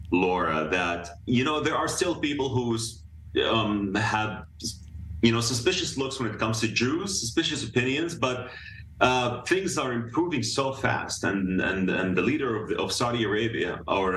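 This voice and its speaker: A man joins a live news show as guest analyst over a remote video link, and his voice comes back echoey and distant, with a faint hiss underneath. He talks earnestly and piles up fillers and restarts. When he presses a point he lifts his pitch. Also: a bit bright. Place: room in another city.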